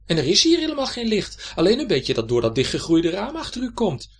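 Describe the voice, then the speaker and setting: A man's voice: high voice, wildly swooping pitch, fairly quick, slightly rough, voice pushed up, full character voice. A man voicing a bold, curious young boy in a children's adventure story. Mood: explaining. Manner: hushed hissing to bold calling.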